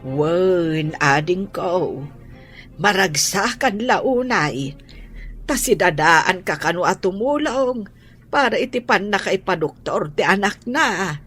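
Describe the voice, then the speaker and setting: A woman's voice: on the low side, lively intonation, slow pace, a bit bright, breathy edge, faint music behind, heavily acted. A woman with a Filipino accent playing a humble, grateful older village mother in an audio drama. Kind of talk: Ilocano audio drama acting.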